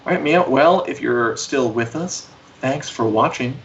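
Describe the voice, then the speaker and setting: A man with a medium-pitched voice talks casually as co-host of an entertainment livestream. His humour is dry and tongue-in-cheek. The sound is a little dull, with noticeable background noise. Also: unhurried, a bit bright. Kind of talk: casual chat about streaming shows.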